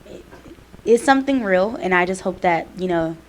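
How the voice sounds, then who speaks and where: A young woman co-hosting a student podcast in casual chat, emphatic and animated, full of "like" fillers. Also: lowish voice, normal speed. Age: young adult. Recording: faint background noise.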